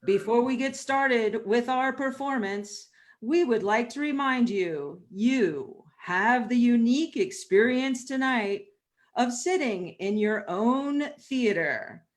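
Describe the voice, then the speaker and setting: A woman's voice: fairly high pitch, expressive, slow pace. A woman reading a scripted welcome as the announcer introducing a show.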